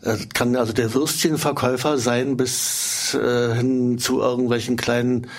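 A man speaking as a guest in a radio studio interview, hesitant and filling pauses with "uh". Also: medium pitch, level tone. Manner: hesitant, with frequent fillers.

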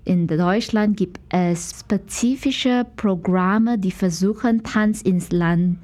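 Slow, mellow Malaysian-accented woman. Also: mid-range voice. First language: English, Mandarin and Malay. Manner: hesitant, searching for words in a learned language. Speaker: guest, dancer and dance teacher. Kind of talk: conversational interview answers.

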